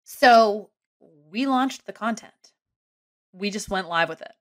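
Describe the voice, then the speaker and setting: A woman in her thirties telling a long story casually on a podcast from a small home room, animated and rambling. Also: medium pitch, slow pace. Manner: animated, rambling, self-deprecating. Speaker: guest comedian and ski content creator. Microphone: studio mic close up with headphones.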